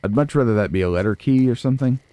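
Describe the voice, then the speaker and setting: A man's voice: medium pitch, racing, dark tone, dry recording. A man hosting a let's play, chatting casually and playfully to himself and viewers with folksy, wry asides. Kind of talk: casual game commentary, talking to himself and the viewers.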